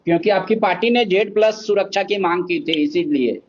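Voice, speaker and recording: A man's voice: sits high, a little fast. A man asking questions as a journalist in a news interview over a phone call. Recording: muffled phone line recording.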